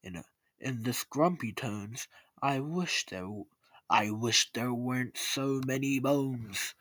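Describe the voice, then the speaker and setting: Guy doing a huge menacing storybook giant, deep booming rumbly voice, slow and hungry. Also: a bit bright, no room sound. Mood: grumbling satisfaction.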